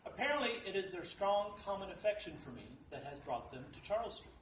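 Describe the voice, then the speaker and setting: A man reading a humorous story aloud at a library dinner event, in a dry, deadpan storytelling manner. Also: high voice, brisk. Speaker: reader at a literacy event. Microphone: distant mic. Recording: echoey room, distant mic, background noise.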